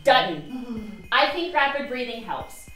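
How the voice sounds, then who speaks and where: A woman's voice: fairly high pitch, expressive, slow, bright, forceful tone, heavily acted. A woman acting a driven, impatient doctor in a radio play, insistent and curt. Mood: urgent, insistent. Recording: roomy, distant mic, music underneath.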